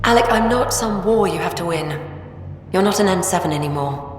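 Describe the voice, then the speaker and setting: A woman playing a resigned, gently firm scientist wife. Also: fairly low pitch, expressive, brisk, slight background noise, natural voice. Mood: quiet resigned. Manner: quiet, hesitant, gently firm. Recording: game audio in an echoey space with long reverb.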